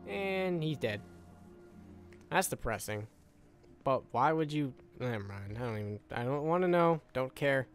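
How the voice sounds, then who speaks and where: A man's voice: mid-range voice, expressive, measured pace, soft background music. Tired man muttering game commentary. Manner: rambling, muttering to himself, repeats phrases. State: tired, voice wearing out. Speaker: gaming video creator. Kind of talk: casual let's-play commentary.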